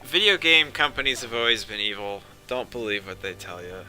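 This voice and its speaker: A man's voice: mid-range voice, brisk, pushed and bright, faint music behind, low-quality recording. A man casually commentating on a game, rambling, sarcastic and profane.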